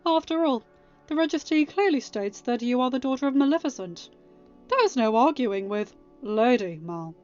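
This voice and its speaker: Storybook duke woman reader, pompous doubter, formal delivery, high voice, slightly lo-fi. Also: lively intonation, moderate pace, a little mellow, soft background music. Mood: skeptical, pointed. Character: pompous, skeptical male duke on a council.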